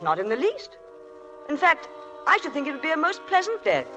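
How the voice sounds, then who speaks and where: A self-assured woman crime writer from an old radio thriller. She is arch and teasing, with a fairly high voice that swings animatedly. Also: big pitch swings, brisk, a bit bright, faint music behind. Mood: confiding, relishing. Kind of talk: vintage radio drama acting.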